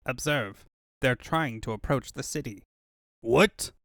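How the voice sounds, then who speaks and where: A man's voice: mid-range voice, takes it slowly, gravelly, a bit bright, dry recording, clean studio sound. A man voicing a pompous, bullying mad-doctor villain in a comic dub, with a grandiose, menacing delivery. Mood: commanding.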